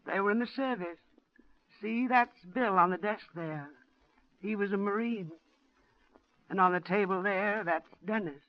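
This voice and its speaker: A woman's voice: medium pitch, unhurried, pauses often, natural voice. An actress playing a genteel, motherly middle-aged woman who narrates her own ordeal in a 1940s radio drama. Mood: gently worried. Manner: genteel, motherly, growing frantic. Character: kindly widowed woman, mother of two servicemen, terrified. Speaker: narrator and lead character. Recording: muffled 1940s radio broadcast.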